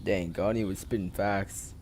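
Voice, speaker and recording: A man's voice: low voice, steady tone, unhurried, noisy background. A man giving casual, slangy, amused commentary as he streams a video game.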